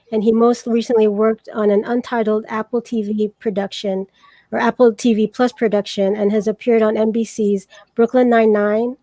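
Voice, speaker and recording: A woman moderates a panel, introducing the guests and putting questions to them at a measured pace. Her voice is a touch higher than usual and has a mellow, warm tone. It comes across close and dry, with no room sound around it. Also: dry recording. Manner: measured, with fillers and restarts.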